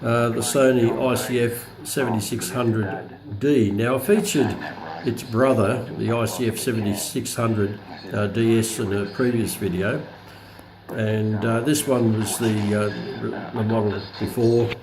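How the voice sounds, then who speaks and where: Low-voiced man giving a halting gadget demonstration. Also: fairly even intonation, crowd noise, rough recording. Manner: hesitant, halting, explanatory. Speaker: presenter of a radio review. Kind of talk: casual unscripted product demonstration.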